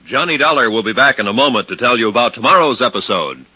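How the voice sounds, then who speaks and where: A man's voice: medium pitch, expressive, fast talker. Formal male show announcer, vintage radio, lo-fi with music bed. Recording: dull old radio with loud music bed.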